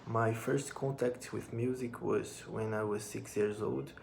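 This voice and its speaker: Brazilian guy in his 20s, low flat voice, bit of fry, talking to his phone with english as a second language. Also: slight background noise. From northern Brazil. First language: Portuguese. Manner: reads from a note, with pauses and restarts. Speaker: musician and drum teacher. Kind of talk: personal vlog talking to camera. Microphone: phone camera.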